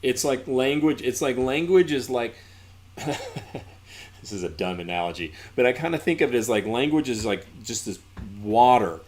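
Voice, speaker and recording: A man's voice: medium pitch, sing-song, normal speed, darkish tone. A man with a Southern accent, a podcast guest telling stories in loose, rambling casual chat full of ums and asides. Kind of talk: casual conversational storytelling.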